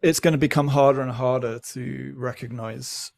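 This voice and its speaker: A man speaking as a podcast guest, explaining his views in a measured, deliberate, conversational way. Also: medium pitch, steady tone, vocal fry. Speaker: podcast guest, newsletter founder. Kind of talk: conversational interview.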